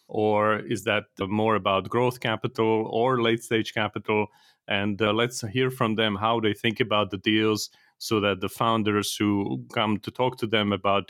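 A man hosting a podcast interview, conversational and halting, often restarting his questions. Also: on the low side, fairly bright tone, no echo, clean studio sound. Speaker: podcast host.

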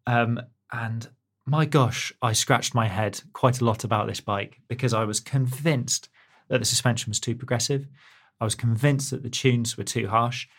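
A man's voice: medium pitch, steady tone, moderate pace, fairly bright tone, breathy edge. Chatty man rambling about bike tech. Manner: rambling, self-deprecating, many fillers. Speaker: technical editor, co-host. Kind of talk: casual technical chat.

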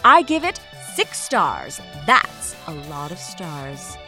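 A woman with a slightly low voice reads a comic podcast promo. Her delivery is theatrical and swoops around playfully, tongue in cheek. Music sits faintly beneath. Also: huge pitch swings, takes it slowly, pushed and bright. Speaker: podcast ad presenter. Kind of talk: comic scripted promo read.

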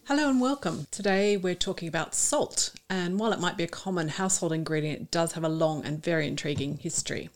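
A woman's voice: on the low side, fast, hardly any pauses, faint music behind. A woman hosting a podcast, presenting and asking questions in a conversational style. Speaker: podcast host.

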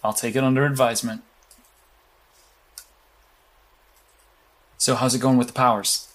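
A man's voice: medium pitch, little pitch movement, fast, fairly bright tone, close to an everyday voice. A man playing a blunt, secretive vigilante strategist in an audio drama, clipped and matter-of-fact. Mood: cool, deadpan.

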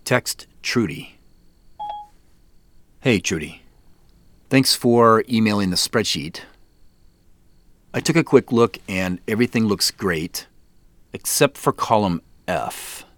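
Slow, very low-voiced tired businessman. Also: lively intonation, a bit bright, a little hiss or hum. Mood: businesslike. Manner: measured, pausing dictation. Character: tired businessman dictating text messages to his phone. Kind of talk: naturalistic audio drama acting.

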